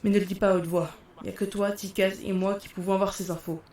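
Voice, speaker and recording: A woman playing a matter-of-fact police colleague briefing on a case in a French audio drama, brisk and businesslike. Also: medium pitch, little pitch movement, darkish tone, echoey room, natural voice.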